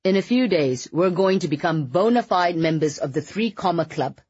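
A woman's voice: fairly low pitch, expressive, moderate pace, a little mellow, breathy edge, no room sound, muffled recording. A woman voicing an irritable, status-obsessed father in an audiobook, with an imperious, scolding manner. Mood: exasperated, scheming.

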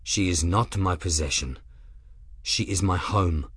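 Steely airship captain, very low, slow, husky, quiet and level; a man putting on the voice. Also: no echo, studio quality, natural voice. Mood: cold calm.

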